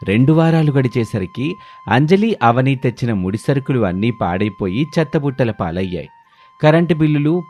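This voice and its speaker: A man's voice: mid-range voice, a little mellow. A man narrating a scripted moral family story in a storyteller's style. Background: background music.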